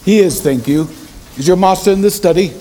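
A man acting an aging Victorian gentleman in a period audio drama. His voice sits high for a man. He speaks in a formal, measured, courteous way, as an earnest and concerned friend. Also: lightly acted.

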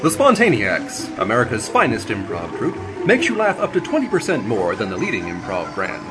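A man playing a gushing, boastful commercial pitchman in a parody advertisement, exaggerated and salesy. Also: huge pitch swings, a little fast, music in the background.

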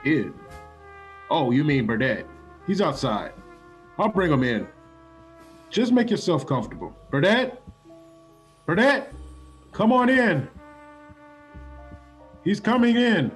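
A man playing an offbeat, polite and unruffled gentleman dog owner in a comedic radio play. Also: a little high, sing-song, takes it slowly, long pauses, faint music behind. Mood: polite, casual, then calling out.

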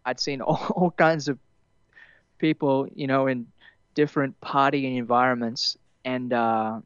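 A young man with an Australian accent softened by years in America, chatting loosely in an interview with plenty of fillers and restarts. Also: mid-range voice, fairly even intonation, a little slow, clean tone, no echo, lo-fi sound. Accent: Australian, partly Americanized. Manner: rambling, many fillers and restarts, laughs easily. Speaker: musician guest. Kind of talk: casual interview chat.

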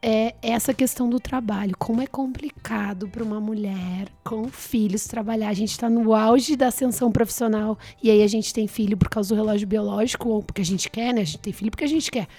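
A woman with a southern Brazilian accent and a slightly hoarse voice, chatting casually as a podcast guest. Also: on the high side, warm tone. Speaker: podcast guest, writer and journalist. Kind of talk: casual conversation.

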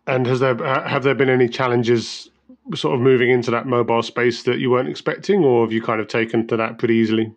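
A man's voice: medium pitch, fairly even intonation, fast. A man hosting an interview, asking questions hesitantly and often repeating words as he starts. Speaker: interview host. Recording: dull sound.

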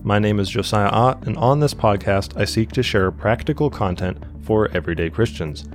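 A man's voice: fairly low pitch, moderate pace, soft background music. Congested young man hosting a devotional podcast. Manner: earnest, with frequent restarts and repeated words. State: congested from a cold. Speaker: podcast host. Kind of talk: solo devotional podcast talk.

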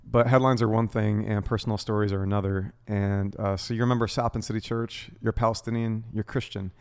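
Man pastor, earnest and measured, smooth resonant tone, dry close sound. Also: fairly low pitch, level tone, no echo. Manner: earnest, measured, addresses the congregation. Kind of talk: church talk and interview.

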